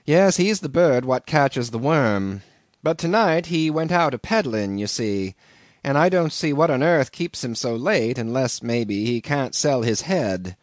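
A man's voice: mid-range voice, animated, a little slow, dry recording, voice pushed up, close to an everyday voice. A man voicing a folksy, teasing old male innkeeper with a rustic drawl, in an audiobook reading.

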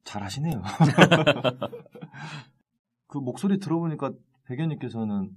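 A man with a Jeolla accent hosts a local talk podcast. His pitch sits in the middle range, and he keeps a joking, teasing tone. He leads the conversation with his guest. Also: expressive, measured pace, slightly rough. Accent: Jeolla dialect. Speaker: podcast host, news editor. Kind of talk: casual podcast hosting.

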